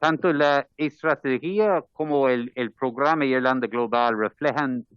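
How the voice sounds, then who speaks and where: A man's voice: mid-range voice, unhurried, clear, resonant tone, no room sound. A man from Ireland with an Irish accent, reading a formal address as an ambassador in careful second-language Spanish. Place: parliamentary committee room. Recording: dull, muffled committee audio.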